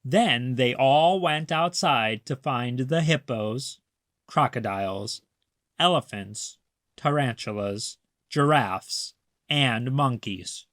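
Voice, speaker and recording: Very slow, expressive children's storybook reader. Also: mid-range voice, dry recording, studio quality. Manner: deliberate, emphasizes words for young listeners. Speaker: children's read-aloud narrator. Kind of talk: expressive picture-book storytelling.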